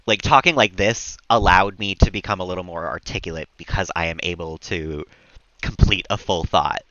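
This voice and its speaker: Calm, low-voiced man streaming games. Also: normal speed, no echo, dull audio. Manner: calm, relaxed, rambling. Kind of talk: casual chat while gaming.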